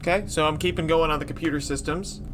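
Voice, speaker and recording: A man's voice: on the high side, steady tone, quick pace, over music. A man talking casually as a player in a tabletop role-playing game.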